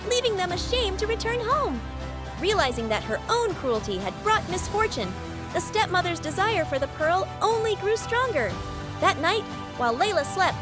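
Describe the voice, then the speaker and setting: A woman narrating a scripted fairy tale as a storyteller. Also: extremely high pitch.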